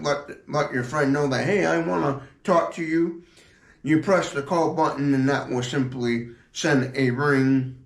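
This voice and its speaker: A man giving a casual product demonstration in a halting, repetitive way, explaining as he goes. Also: medium pitch. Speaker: product reviewer. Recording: echoey room.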